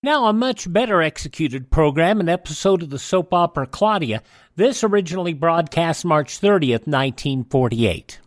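A man's voice: fairly high pitch, expressive, normal speed, dry recording, studio quality. A man hosting a classic radio show, presenting and introducing old episodes.

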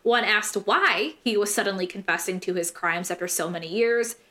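A woman's voice: mid-range voice, normal speed, bright, forceful tone. A young American woman hosting a true crime podcast, telling the story casually in slangy, irreverent language and swearing freely.

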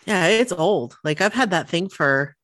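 A woman in her thirties co-hosting a podcast, telling stories in relaxed casual chat with lots of fillers, a bit sniffly from the cold. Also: fairly low pitch, measured pace, no echo. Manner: relaxed storytelling with many fillers. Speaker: podcast co-host.

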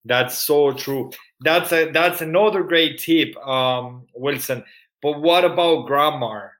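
Upbeat Spanish-speaking host, Irish-tinged accent. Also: male voice, a little high, takes it slowly, fairly bright tone. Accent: Spanish mixed with Irish. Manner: upbeat, repeats praise before asking. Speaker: podcast host. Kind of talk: casual interview.

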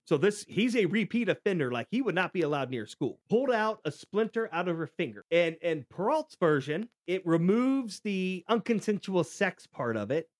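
A man, middle-aged, telling stories as a podcast host in a casual, halting way that repeats words. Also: high-pitched, sing-song, dry recording, studio quality. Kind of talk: casual conversational storytelling.

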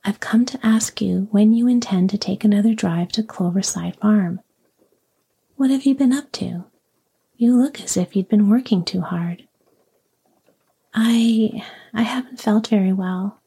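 A woman reading a bedtime story in a slow, soothing, breathy whisper to help the listener fall asleep. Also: medium pitch, dark tone. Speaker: sleep story narrator.

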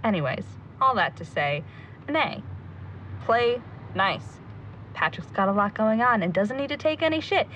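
A woman's voice: a little high, lively intonation, a little slow, a bit bright, lo-fi sound, slight background noise. A woman playing a blunt, bossy, outspoken young woman in an audio drama. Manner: outspoken, sweary.

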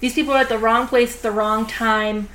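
A woman hosting a casual horror film review podcast, chatty and full of "like" and "you know" fillers, talking a bit slowly because her jaw is sore. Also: a little high, fairly even intonation, fairly bright tone, low-quality recording.

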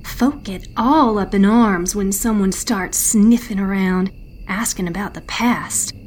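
A woman playing a folksy village local in an audio drama, welcoming newcomers and warning them in a conspiratorial, hushed manner. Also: mid-range voice, a little slow, a little hiss or hum, lightly acted. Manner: folksy, conspiratorial, dropping into hushed near-whisper. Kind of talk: in-character fiction podcast storytelling.

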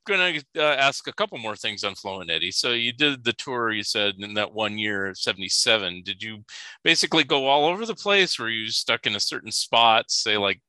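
A man's voice: on the low side, lively intonation, fairly quick, bright, forceful tone, dry recording, rough recording. A man hosting a casual interview, easygoing and affirming, asking long questions with hesitant fillers. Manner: easygoing, lots of affirming mm-hmms and chuckles. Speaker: interviewer, host.

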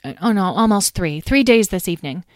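A woman's voice: mid-range voice, lively intonation, brisk, no echo, clean studio sound, voice pushed up, lightly acted. A woman voicing an earnest young girl who is a knight in training. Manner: eager, urgent.

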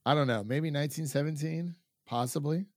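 A man's voice: a little high, fairly quick, a little mellow, no room sound, clean studio sound. A man hosting a film review podcast, chatting in an informal, enthusiastic, rambling way. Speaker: podcast host. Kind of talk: casual film review chat.

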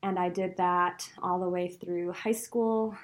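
A woman's voice: lowish voice, fairly even intonation, unhurried. A middle-aged woman who grew up between Venezuela and the US, a law professor answering interview questions in a chatty, reflective way, with frequent laughs.

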